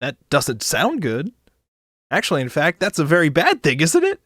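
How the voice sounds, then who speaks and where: A man's voice: a little high, huge pitch swings, moderate pace, a bit bright, dry recording, studio quality, full character voice. A man voicing a wry, broke, laid-back space shuttle passenger in a visual novel, speaking casually. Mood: worried. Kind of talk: visual novel character reading.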